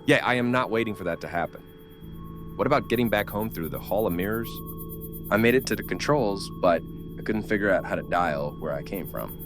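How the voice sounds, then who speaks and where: A man's voice: on the low side, animated, brisk, music in the background, lightly acted. A man playing a jaded, stern but protective survivor in a horror audio drama, with a blunt, commanding manner. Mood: exasperated.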